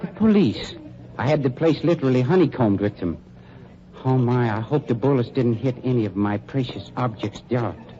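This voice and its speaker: A man plays a smooth-mannered undertaker in a vintage radio thriller, his voice mellow and widely swinging in pitch. He is courteous, precise and dryly ironic, as if regretting the trouble he causes. The recording is muffled, with some hiss and noise. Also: animated, moderate pace, natural voice. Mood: sardonic. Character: urbane, sly male undertaker double-crossing gangsters. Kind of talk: 1940s radio drama acting.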